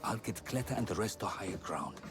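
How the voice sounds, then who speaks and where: Man acting a grave, very deep-voiced refugee leader. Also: animated, over music, natural voice. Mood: cautious, purposeful. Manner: formal, deliberate, serious. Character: grave, cautious male refugee leader of ancient Greece. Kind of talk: video game cutscene acting.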